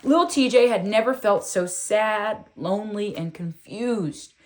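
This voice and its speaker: A woman reading a children's book aloud in an expressive, deliberate storytelling style with emphatic phrasing. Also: mid-range voice, a little hiss or hum.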